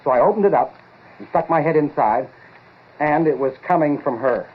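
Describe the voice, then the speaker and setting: An actor playing an earnest, excitable lab researcher, urgent and insistent, in a 1940s radio drama style. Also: male voice, fairly high pitch, moderate pace, breathy edge. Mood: defensive unease. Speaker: supporting actor. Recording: tinny, muffled-detail 1940s radio recording.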